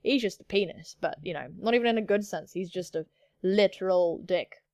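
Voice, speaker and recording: A woman's voice: mid-range voice, a little fast, darkish tone, no room sound, studio quality. A woman hosting a gaming video, commenting playfully in a cheeky, sweary, theatrical manner. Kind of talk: playful let's-play commentary with read-out game narration.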